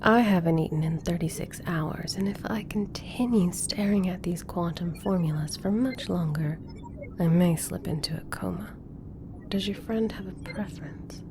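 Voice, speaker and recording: A woman playing an intelligent, composed scientist in an ASMR roleplay, speaking in a measured, formal, clinical way. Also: fairly low pitch, background noise, lightly acted. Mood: dry, tired. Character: intelligent, composed female government scientist doctor.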